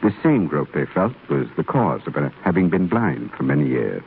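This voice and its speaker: An actor playing a solemn, devout, fatherly stonecutter with measured, reverent delivery in a 1930s radio drama. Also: very deep voice, very animated, creaky, full character voice. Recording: scratchy, muffled 1930s radio broadcast.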